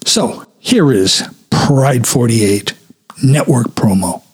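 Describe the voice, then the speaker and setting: Male podcast host with a scratchy throat, husky and unhurried, rambling through a story. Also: mid-range voice, lively intonation, a little mellow. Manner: slow, chatty and descriptive. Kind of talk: unscripted storytelling monologue.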